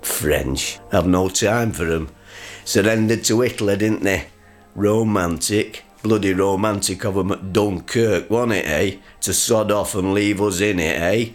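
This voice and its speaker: A man playing a grumpy, crude working-class father in a radio comedy sketch, gruff, sarcastic and blustering. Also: fairly low pitch, measured pace, faint music behind, lightly acted. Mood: ranting.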